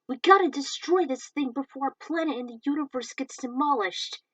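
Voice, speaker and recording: A woman's voice: high voice, normal speed, fairly bright tone, dry recording, distant mic, voice pushed up, poor audio. Woman voicing an urgent young male sidekick.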